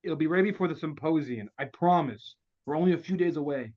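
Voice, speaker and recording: A man's voice: fairly high pitch, brisk, natural voice. A man playing an anxious, pleading weapons scientist in a comedy table read. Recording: dull, lo-fi online call.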